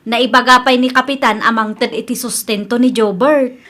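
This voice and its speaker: Woman acting an aggrieved gossip, high bright voice. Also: steady tone, normal speed. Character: aggrieved woman, gossipy confidante of her friend. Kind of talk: Ilocano radio drama acting.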